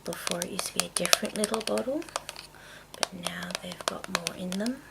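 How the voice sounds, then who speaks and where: Female ASMR presenter, breathy whisper on the lower side, rambling and casual, over clearly noisy audio with clicks. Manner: hushed, rambling, unhurried. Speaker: ASMR video maker. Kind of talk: ASMR, casual product show-and-tell.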